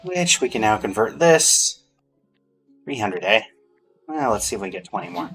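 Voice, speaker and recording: A man's voice: lowish voice, sing-song, moderate pace, a bit bright. A man giving casual gameplay commentary, muttering to himself with playful, mock-formal phrasing. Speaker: game streamer. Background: game music.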